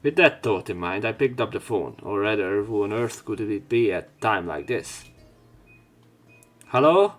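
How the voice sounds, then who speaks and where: A man's voice: lowish voice, animated, normal speed. Young man reading a visual novel aloud. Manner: reads narration plainly, jumps up louder for the hero's lines. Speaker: let's play narrator.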